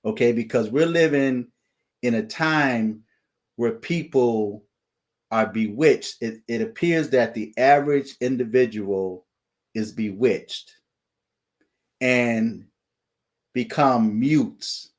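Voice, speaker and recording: A man speaks to his channel in an unhurried, preaching cadence. His voice sits at a middling pitch, and he stretches his words out slowly, stopping often to ask "okay?" before going on. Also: lively intonation. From United States. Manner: deliberate, repeats "okay?" as a check-in. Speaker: channel host sharing dreams and visions. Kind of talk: slow preaching monologue.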